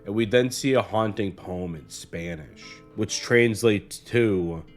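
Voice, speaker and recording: A man narrating a scripted video essay in a measured, earnest way. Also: lowish voice. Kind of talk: scripted analytical narration of a horror series. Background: guitar music.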